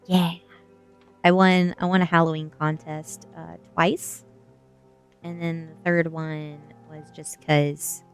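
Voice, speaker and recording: A woman streamer with a soft, gentle delivery, reading a book aloud in an ASMR style and chatting casually to viewers. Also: sits low. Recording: quiet music behind the voice. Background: faint background music.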